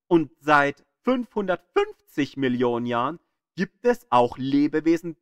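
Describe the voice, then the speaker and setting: A man narrating a scripted educational audio guide like a playful tour guide, with deliberate, emphatic storytelling delivery. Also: fairly high pitch, a bit bright, clean tone, no room sound.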